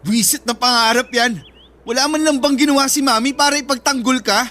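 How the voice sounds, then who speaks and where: An actor playing an emotional, protective older brother with a Filipino accent in a radio drama, pleading and earnest. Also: male voice, extremely high pitch, fairly even intonation, a little fast, sharp tone. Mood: pleading, upset. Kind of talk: Tagalog radio drama acting. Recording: background music throughout.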